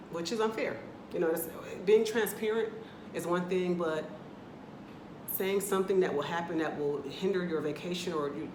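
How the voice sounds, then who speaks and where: Low-pitched American woman vlogger, loose and candid, in a roomy space with steady noise behind her. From United States, Atlanta area. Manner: chatty, candid, talks in loose run-on asides. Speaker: travel vlogger. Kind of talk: casual to-camera vlog. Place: indoors in a rental apartment.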